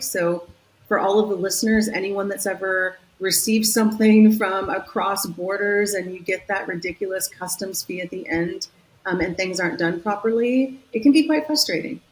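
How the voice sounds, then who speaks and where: A woman's voice: fairly low pitch, slight background noise, low-quality recording. A woman from San Diego with a Californian accent, a guest executive talking at length in a business podcast interview, upbeat and enthusiastic. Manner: upbeat, talks in long enthusiastic runs.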